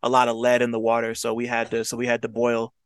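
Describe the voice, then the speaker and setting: A man with a smooth, resonant voice talks in a relaxed, halting way over a video call. The sound is dry and close. He pauses often on fillers. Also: mid-range voice, no room sound. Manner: laid-back, hesitant, many fillers. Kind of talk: casual chat.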